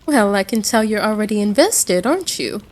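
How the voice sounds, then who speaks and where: A woman playing a caring, motherly American wife in a roleplay audio drama, gentle and reassuring, full of endearments. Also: mid-range voice, lively intonation, moderate pace, close to an everyday voice.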